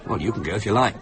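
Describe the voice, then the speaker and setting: An actor with a British accent playing a fussy, patronising, sceptical husband in a radio drama. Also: male voice, on the low side, fairly bright tone, low-quality recording. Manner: patronising, cajoling, insistent. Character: fussy, paternal, coaxing husband, sceptical and cynical.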